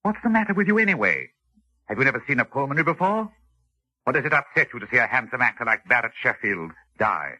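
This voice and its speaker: An actor playing a calculating doctor with formal, clinical diction in an old radio drama. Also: male voice, mid-range voice, big pitch swings, quick pace, sharp tone, slightly rough, exaggerated voice, low-quality recording. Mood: stern. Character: cool, calculating male doctor recounting his murder experiment. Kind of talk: 1940s radio acting, dramatic first-person narration.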